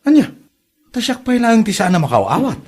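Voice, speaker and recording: A man acting an exasperated middle-aged father with a husky voice in an amateur comedy drama. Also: sits high, wildly swooping pitch, brisk, heavily acted. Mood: scolding. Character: exasperated father, scolding and comforting his grown daughter.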